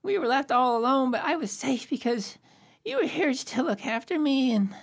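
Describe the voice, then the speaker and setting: A woman's voice: sits high, animated, moderate pace, vocal fry, exaggerated voice. A woman playing a small, imaginative boy in a radio play, talking in childlike make-believe. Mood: brave, drowsy. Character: small boy, imaginative, devoted to his dog.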